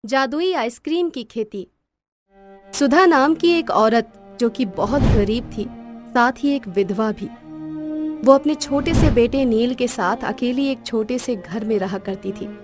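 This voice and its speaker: A woman tells a scripted story in a slightly high voice. Music is clearly heard behind the narration. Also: unhurried.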